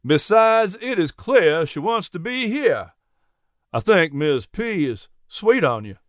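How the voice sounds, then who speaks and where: A man's voice: sits high, very animated, takes it slowly, no echo, studio quality, voice pushed up, exaggerated voice. A man playing a genial, teasing older horse owner with a folksy, emphatic way of talking in an audiobook story. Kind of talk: audiobook character dialogue.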